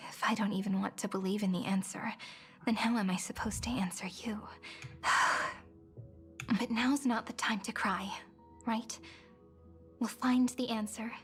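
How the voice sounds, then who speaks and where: A woman voicing a gentle, wistful young woman in a game, speaking softly in a breathy, half-whispered way. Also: a little high, natural voice. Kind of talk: game voice acting.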